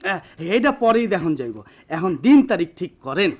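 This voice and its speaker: An actor playing a practical village go-between in a radio play, prompting others matter-of-factly with a rural Bangladeshi accent. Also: male voice, high voice, very animated, fairly quick. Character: practical village go-between, nudging his friend. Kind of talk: Bengali radio play acting.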